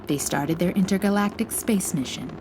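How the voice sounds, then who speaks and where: A woman's voice: medium pitch, moderate pace, background noise. A woman reading a children's storybook aloud as host, with dramatic, playful emphasis. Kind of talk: expressive scripted storybook narration.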